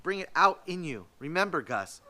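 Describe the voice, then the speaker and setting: A man voicing a kindly female school principal in a storybook, gentle, earnest and deliberate. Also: a little high, big pitch swings, exaggerated voice. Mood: tender, reassuring.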